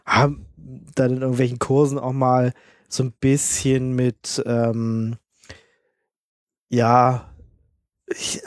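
A man's voice: medium pitch, darkish tone, dry recording, clean studio sound. A man in casual podcast chat who recalls things hesitantly, with many fillers.

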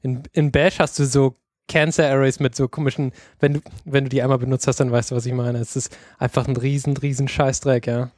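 A man's voice: medium pitch, flat delivery, brisk, hardly any pauses, a little mellow, no echo, studio quality. A man in casual podcast chat who rants bluntly and swears freely. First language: German.

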